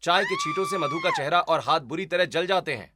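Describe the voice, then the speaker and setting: A man reading scripted story narration in an expressive, deliberate, dramatic way. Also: high voice, background noise.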